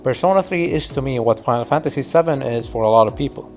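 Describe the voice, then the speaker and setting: A man's voice: medium pitch, expressive, fast, a little mellow, faint music behind. A man in his twenties whose first language is Arabic, narrating a scripted video essay in a casual, wry, nostalgic way. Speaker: YouTube narrator.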